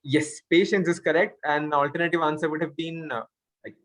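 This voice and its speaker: A man's voice: on the high side, steady tone, a little fast, a bit bright, dry recording. A man hosting a quiz, reading out questions and confirming answers. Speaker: quiz master. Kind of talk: reading quiz questions aloud, with spoken asides.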